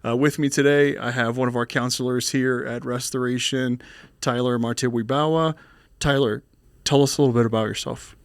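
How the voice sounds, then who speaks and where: Mid-pitched male podcast host, dry sound. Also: fairly even intonation, a little fast, dry recording. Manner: encouraging, asks rambling questions. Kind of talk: casual interview conversation.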